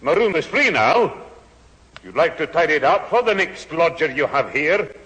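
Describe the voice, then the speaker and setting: Huffy old grandad, high-ish husky voice, feeling sorry for himself, old radio sitcom. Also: male voice, big pitch swings, normal speed, a bit bright, exaggerated voice. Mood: wounded, self-pitying. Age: older. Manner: wounded, huffy, self-pitying. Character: aggrieved, stubborn, self-pitying old grandfather. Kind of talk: 1970s radio sitcom acting. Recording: echoey old radio hall recording.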